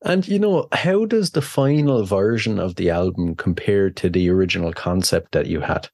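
A man hosting an interview, chatty and quick to laugh. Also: deep voice, expressive, a little mellow, dry recording. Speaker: podcast host. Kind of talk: casual interview.

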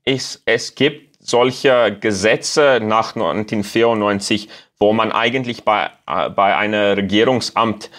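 Interview guest: South African man, Afrikaans accent, fairly low voice, halting German, lots of fillers. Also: fairly bright tone. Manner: halting, searching for German words with many fillers. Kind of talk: casual interview.

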